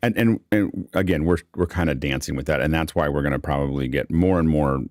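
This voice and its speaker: A man's voice: extremely low pitch, fairly quick, a little mellow, no echo, studio quality. A man hosting a casual unscripted panel discussion, rambling and thinking aloud as he repeats words. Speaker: host. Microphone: close microphone on an online video call.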